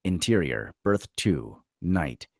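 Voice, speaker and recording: A man with an extremely deep voice narrates screenplay stage directions. His delivery is dramatic, with pitch that swings widely, and the sound is dry and close. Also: sing-song, slow pace, gravelly, husky, no echo.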